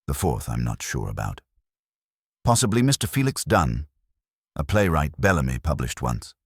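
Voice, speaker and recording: A man's voice: very deep voice, sing-song, normal speed, slightly rough, no echo, clean studio sound, exaggerated voice. A man voicing a nervous, polite young publishing clerk in an audiobook, hesitant and deferential. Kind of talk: audiobook character dialogue.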